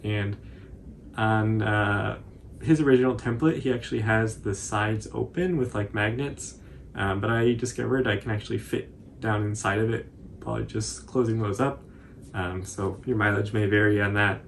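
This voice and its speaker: A man in his twenties giving a casual, informal tutorial commentary in a halting, hesitant way. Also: lowish voice, fairly even intonation, creaky, a little hiss or hum. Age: 20s. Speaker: cosplay tutorial presenter.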